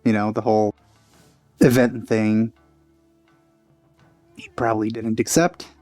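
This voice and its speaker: A man giving casual, offhand commentary as he plays a video game, in low-key asides on a close mic. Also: level tone, unhurried, darkish tone, faint music behind. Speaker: let's play host.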